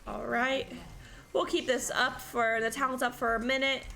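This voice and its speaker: A woman doing live play-by-play commentary on an esports match, sounding stuffy from allergies and getting excited in close fights. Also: fairly high pitch, moderate pace, gravelly. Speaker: esports caster.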